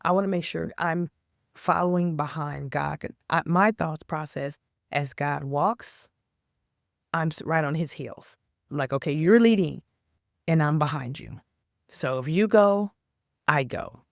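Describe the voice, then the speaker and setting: A woman with a raspy, hoarse voice from a cold, talking casually about faith and pushing through hard times. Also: low-pitched, measured pace, no room sound, studio quality. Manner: rambling, stops and restarts, then earnest exhortation. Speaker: faith vlogger. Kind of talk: casual faith talk to camera.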